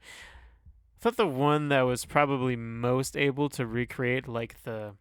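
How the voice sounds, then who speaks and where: Male co-host with a smooth mid-range voice, close dry sound, wry and casual, feeling out his phrasing. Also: medium pitch, slow, dry recording. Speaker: podcast co-host. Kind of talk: casual chat.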